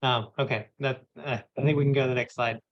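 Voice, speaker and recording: A man's voice: medium pitch, steady tone, fast. A man, co-hosting a user group session on a video call, chatty and teasing with many fillers. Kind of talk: casual video call presentation. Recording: muffled lo-fi call audio.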